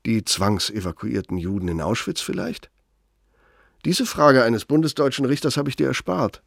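A man's voice: mid-range voice, lively intonation, gravelly. An actor playing a bitter, sardonic older former right-hand man in a radio play, speaking with needling, threatening emphasis. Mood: angry, accusing. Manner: sarcastic, needling, emphatic, drawn-out phrasing for menace. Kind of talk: radio play dramatic monologue.

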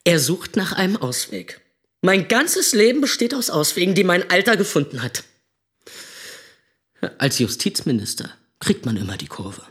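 Resentful young man, high and animated. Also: normal speed. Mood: bitter, cornered. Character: resentful young son of a powerful politician. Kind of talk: radio drama monologue with slangy speech.

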